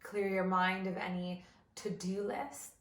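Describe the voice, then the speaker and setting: A woman leading a guided body scan meditation as an instructor, gentle and measured, with long pauses between phrases. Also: medium pitch, flat delivery, roomy sound.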